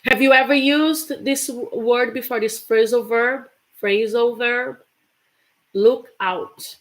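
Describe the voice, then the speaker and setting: Woman, English teacher, somewhat high voice, slow, chatty. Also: fairly even intonation, low-quality recording. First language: Portuguese. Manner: chatty, repeats example phrases with laughs. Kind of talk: live online English lesson.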